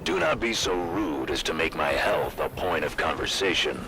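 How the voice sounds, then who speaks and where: An actor playing a gruff, curt and sardonic colony governor in a video game cutscene. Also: male voice, rumbling low, lively intonation, normal speed, a crowd in the background, heavily acted. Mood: curt, guarded.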